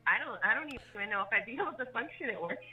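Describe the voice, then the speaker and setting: Casting: female caller, quick-paced, gushing flirty chat over a dull phone recording. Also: a little high, expressive, faint music behind. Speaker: caller, inmate's partner. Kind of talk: intimate casual phone chat.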